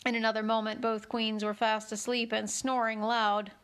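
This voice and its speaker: A woman's voice: a little high, level tone, moderate pace, fairly bright tone, smooth. A woman narrating a children's storybook expressively as host of a read-along.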